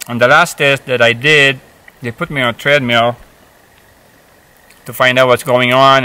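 A man's voice: medium pitch, pushed and bright, poor audio. A man in his fifties talking casually to camera while sitting outdoors in a forest, hesitant, with many fillers and restarts. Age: 50s. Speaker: hiking vlogger. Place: forest, outdoors, seated on a rock.

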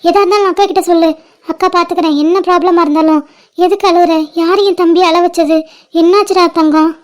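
A woman's voice: very high voice, steady tone, fast. A woman playing a caring elder sister who speaks with a Tamil accent, anxious and comforting. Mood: worried. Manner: worried, comforting and scolding. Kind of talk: short-film drama acting.

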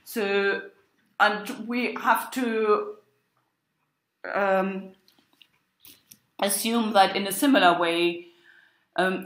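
Woman lecturer talking slowly and haltingly, academic style, room is pretty echoey. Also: medium pitch. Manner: halting, hesitant delivery. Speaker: university lecturer. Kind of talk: academic lecture with examples chanted and recited. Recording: roomy, echoing sound.

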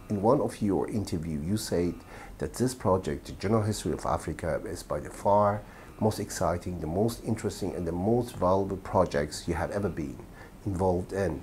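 A middle-aged man interviewing a guest, asking measured, formal questions in a small editing suite. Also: deep voice, warm tone, a little hiss or hum.

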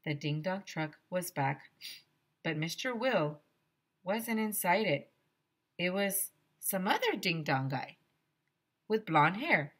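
Bright, animated woman reading a storybook. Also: lowish voice. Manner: expressive, dramatic, pauses to explain words. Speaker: teacher reading aloud to children. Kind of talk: animated children's storybook read-aloud. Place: small decorated room indoors. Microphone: headset microphone.

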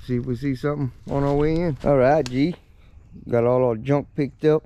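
A man's voice: medium pitch, steady tone, moderate pace, warm tone, lo-fi sound. A man, talking casually to his son and his viewers in an easygoing vlog filmed outdoors on a handheld camera. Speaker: vlogger. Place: outdoors on a bayou.

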